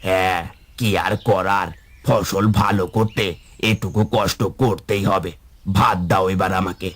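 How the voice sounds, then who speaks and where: A man's voice: low voice, steady tone, a little slow, a bit bright. A man playing a weary, worried village farmer and husband in a cartoon, with a plaintive manner. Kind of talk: cartoon voice acting.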